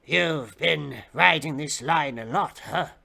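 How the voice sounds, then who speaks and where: A man's voice: fairly high pitch, creaky, bright, forceful tone, exaggerated voice. Man putting on an eerie, intense old drifter. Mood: curious, odd. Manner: slow, conspiratorial, ominous.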